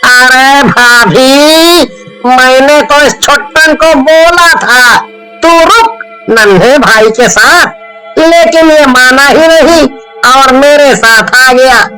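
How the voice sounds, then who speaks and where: A woman voicing excitable, bickering village men in a cartoon, talking in a drawn-out, exclamatory way. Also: sits high, over music, pitched above the performer's own voice, low-quality recording. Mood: complaining.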